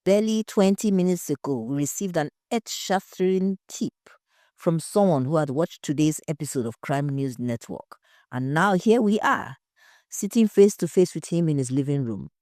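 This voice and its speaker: A woman's voice: deep voice, expressive, moderate pace, darkish tone, no echo, studio quality. Woman reading as an earnest female TV reporter. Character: earnest female television crime reporter introducing a witness.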